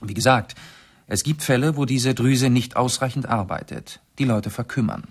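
A shrewd gentleman sleuth in a radio drama. The voice is gravelly and slightly husky, at a middling pitch. He lays out his reasoning deliberately, with urbane composure. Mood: analytical, composed. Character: shrewd English gentleman detective, methodically explaining his deductions. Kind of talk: radio play acting, 1970s.

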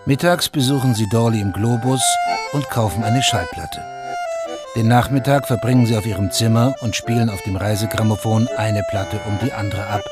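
A man narrating a radio drama from a script in a factual, measured, documentary-like way. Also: fairly low pitch. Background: music.

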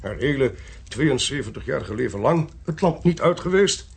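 A man acting a suave, talkative official in a radio drama, politely sly and self-possessed, with a husky, gravelly voice and urbane, theatrical delivery. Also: medium pitch, expressive, normal speed, exaggerated voice. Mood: expository, intrigued.

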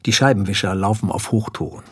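A man's voice: on the low side, fast talker, a bit bright. A man reading scripted scene-setting narration as the narrator of a German radio drama. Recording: clearly audible background noise.

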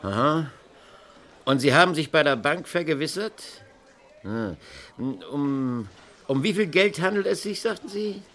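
An actor playing a methodical police officer taking down a report with halting questions in a 1970s radio crime drama. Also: male voice, a little high, very animated, a bit bright. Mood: methodical, attentive. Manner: halting, methodical, repeating details back.

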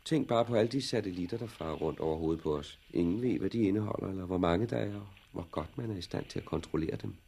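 An actor playing a brooding, cynical plant expert in a 1960s radio drama, deliberate and matter-of-fact about grim things. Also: male voice, low voice, vocal fry, warm tone, husky, full character voice. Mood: brooding, ominous.

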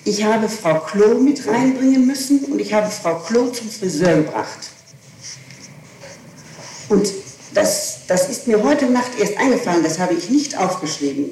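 A woman's voice: medium pitch, animated, a little slow, warm tone. A woman being questioned, recounting events in insistent detail and often correcting herself as she goes. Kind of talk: recounting events under interrogation. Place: echoing room, archival tape recording. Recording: very echoey, noisy old interrogation tape.

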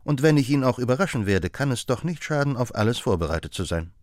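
A man voicing a sharp-witted detective who lays out his reasoning in a brisk, assured way. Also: medium pitch, very animated, dry recording, studio quality, voice pushed up, close to an everyday voice. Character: sharp-witted male detective, confident and explaining.

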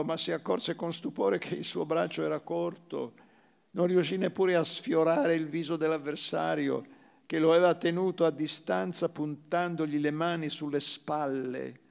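A man giving a theatrical reading of literary fiction, with measured, deliberate phrasing and dramatic pauses. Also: fairly high pitch, steady tone, vocal fry. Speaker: actor reading a short story.

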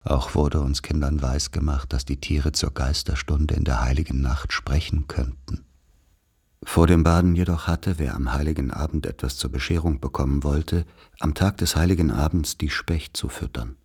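A man's voice: rumbling low, steady tone, normal speed, darkish tone, slightly rough. A man narrating literary, scripted childhood memories for a radio play.

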